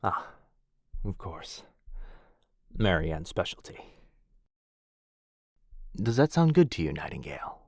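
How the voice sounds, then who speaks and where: A man playing a courteous, gentle, centuries-old vampire lord in a soft, breathy ASMR roleplay, hesitant and genteel in manner. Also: deep voice, very animated, takes it slowly, pauses often, dry recording, clean studio sound, full character voice. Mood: warm, courteous. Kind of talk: boyfriend ASMR roleplay acting.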